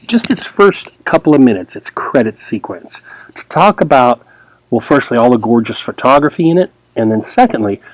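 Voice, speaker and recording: A man's voice: medium pitch, big pitch swings, normal speed, no echo, poor audio. A man hosting a film analysis in a casual, enthusiastic, conversational way, talking like a teacher walking viewers through clips.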